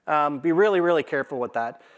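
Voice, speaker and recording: A man's voice: a little high, expressive, quick pace. A man giving an informal business presentation to a live audience in a conversational, emphatic way, often checking in with rhetorical questions. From Canada. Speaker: conference speaker, AI consultant.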